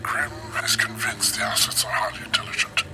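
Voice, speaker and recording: A man playing a grave, guilt-ridden research scientist in a video game, narrating an ominous log in a measured, sometimes breathy way. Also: on the low side, over loud music, natural voice.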